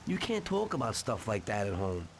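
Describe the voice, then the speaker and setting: A man's voice: lowish voice, wildly swooping pitch, normal speed, slight background noise. A man playing a cocky, hot-tempered 1930s American mobster in a video game, with brash, slangy street talk. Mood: teasing. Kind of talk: dramatic video game voice acting.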